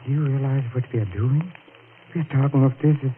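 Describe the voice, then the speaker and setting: An actor playing an anguished, self-loathing man guilty of murder, halting and melodramatic, in the style of 1940s radio horror. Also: mid-range voice, fairly even intonation, lightly acted. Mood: haunted, confessing. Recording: tinny, noisy vintage radio recording.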